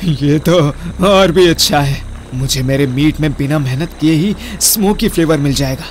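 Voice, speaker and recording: A man's voice: on the high side, normal speed, a little hiss or hum, close to an everyday voice. A man playing a gloating, sadistic cannibal killer in a horror-comedy audio drama, with a menacing, taunting manner. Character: gloating sadistic male cannibal killer savouring his hunt.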